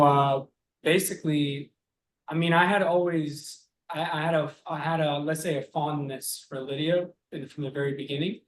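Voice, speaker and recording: Relaxed man from Albania, higher voice. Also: low-quality recording. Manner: relaxed, rambling with restarts. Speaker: personal trainer, gym co-owner. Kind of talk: casual interview talk.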